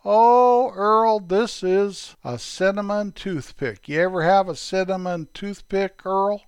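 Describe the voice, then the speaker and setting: A bright, chirpy young boy, cheerful and a bit of a know-it-all, pitched way up high in a told story, as a man puts him on. His voice is smooth and clean, close and dry, and he tacks his buddy's name onto nearly every line. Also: takes it slowly, no echo. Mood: cheerful, proud.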